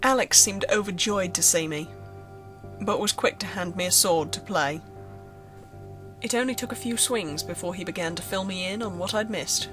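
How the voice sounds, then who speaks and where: A woman's voice: on the low side, moderate pace. A woman reading a scripted horror story as narrator, in first person. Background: music.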